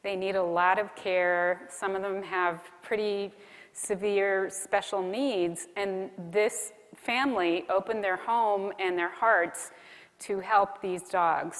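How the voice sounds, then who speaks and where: A woman's voice: mid-range voice, slow, a bit bright. Woman giving a keynote in a reverberant hall. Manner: conversational, asks the audience questions. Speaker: keynote speaker. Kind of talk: conference keynote talk on content marketing. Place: large conference hall.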